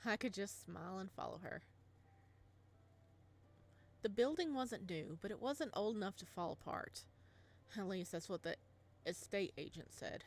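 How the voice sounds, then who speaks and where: A woman voicing a gentle, doting father, narrating softly and tenderly in a visual novel. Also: medium pitch, lively intonation, unhurried, long pauses. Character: gentle doting father, a modest physics teacher.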